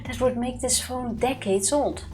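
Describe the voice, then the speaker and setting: A woman's voice: fairly high pitch, measured pace, over music. A woman co-hosting a let's-play, chatting casually through puzzles with playful banter. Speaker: co-host playing a video game.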